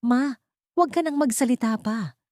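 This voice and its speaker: A woman's voice: fairly high pitch, normal speed, darkish tone, no echo, studio quality, pitched above the performer's own voice, natural voice. A woman voicing a troubled, guilt-ridden husband and father in an audio story. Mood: angry. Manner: troubled, brooding.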